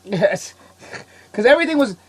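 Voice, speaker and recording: A man hosting a casual podcast, sick and coughing, talking in an excitable, rambling way and laughing often. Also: sits high, measured pace, slightly rough. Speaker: podcast host. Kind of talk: casual chat.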